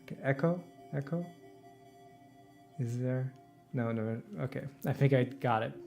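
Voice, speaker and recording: A man casually commentating on a game live. His delivery is slow and halting, with a creaky, fry-laden tone at a middling pitch. Faint music sits behind him. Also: a little mellow. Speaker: streamer co-hosting a game playthrough.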